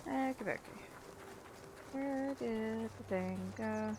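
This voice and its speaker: A woman's voice: a little high, slow, noisy background. A woman giving casual gameplay commentary, informal and chatty, thinking aloud as she plays. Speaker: game streamer.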